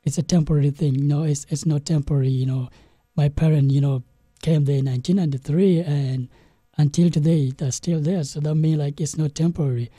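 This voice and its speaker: A young man from South Sudan, a game developer guest in an interview, telling his story in a halting way with many restarts and fillers. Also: on the high side, steady tone, dark tone, husky, no echo. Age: young adult.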